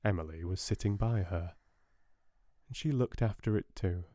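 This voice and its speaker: Soft, low-pitched British male narrator. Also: normal speed, no echo. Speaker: audiobook narrator. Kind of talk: soft-spoken storybook narration.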